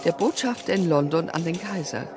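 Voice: mid-range voice, expressive, brisk, over music, lightly acted. A performer playing an official who reads a diplomatic dispatch precisely and formally in a historical radio drama. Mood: neutral.

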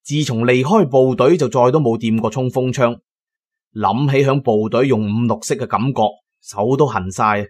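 A man narrating an adventure audio drama in a colloquial, expressive first-person style. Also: medium pitch, normal speed. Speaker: storyteller, narrator.

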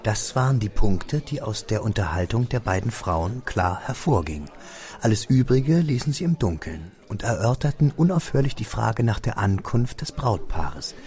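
A man's voice: fairly low pitch, sing-song, normal speed, darkish tone. A man giving composed, scripted literary narration as the narrator of a radio drama. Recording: studio radio drama with music behind. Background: music.

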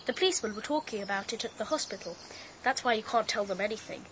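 A woman's voice: mid-range voice, animated, fast, a bit bright, slight background noise, natural voice. An actress playing a precocious, superior young British girl who fancies herself a detective, in a radio drama. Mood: smug, superior. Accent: British English. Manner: bossy, superior.

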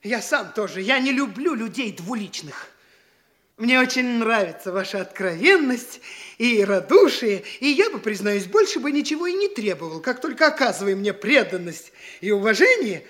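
A vain, flighty young dandy, very animated. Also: male voice, high voice, normal speed. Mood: condescending. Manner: affected, pompous, self-important. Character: vain, flighty young gentleman braggart from the capital. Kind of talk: classic Russian stage comedy radio acting.

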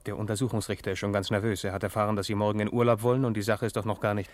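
An actor playing a brisk, dutiful police officer reporting to his chief in a 1960s radio play. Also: fairly low pitch, little pitch movement, lightly acted.

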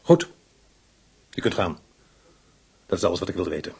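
An actor playing a shrewd, calm male police inspector who questions in a probing, measured, dry way, in a radio play. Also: sits low, gravelly, breathy edge, heavily acted.

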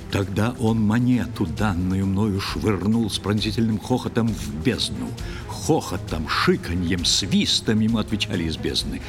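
A man narrating a verse tale in an audio play, with theatrical, expressive recitation. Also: on the low side. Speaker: actor-narrator. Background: music.

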